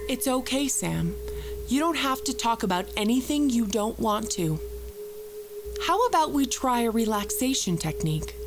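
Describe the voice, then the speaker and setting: An actress playing a calm, controlled psychologist who speaks in a measured, coaxing way in an audio drama therapy session. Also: female voice, on the high side, music in the background. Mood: soothing. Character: calm, soothing, controlled female psychologist hypnotist. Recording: noisy old cassette tape with hum.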